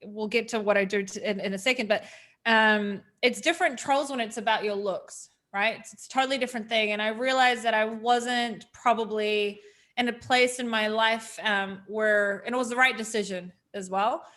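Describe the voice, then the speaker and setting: A woman's voice: a little high, steady tone, moderate pace, a bit bright. A woman, chatting casually as an interview guest with frequent ums. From New Zealand.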